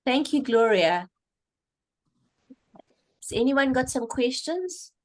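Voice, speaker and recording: A woman's voice: high-pitched, sing-song, slow pace. A woman hosting a group call, courteous and inviting as she moderates. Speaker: host moderating a donor call.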